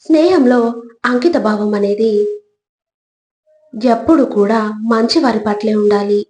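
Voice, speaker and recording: A woman reading scripted motivational narration in a deliberate, instructive manner, in short measured phrases. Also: on the high side, a little mellow. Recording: echoey, reverberant voice track.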